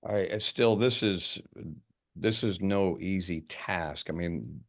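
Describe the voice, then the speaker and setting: An actor playing a blunt, casual police detective at a film table read, speaking in a halting, understated way. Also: male voice, low-pitched. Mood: pensive, probing.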